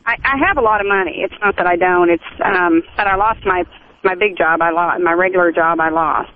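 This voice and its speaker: A woman's voice: lowish voice, steady tone, a little fast. A woman calling in to a radio show, chatting casually and explaining in a hesitant, rambling way. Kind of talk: casual phone-in conversation. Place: phone call to a radio show. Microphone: telephone. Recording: narrow, tinny phone line.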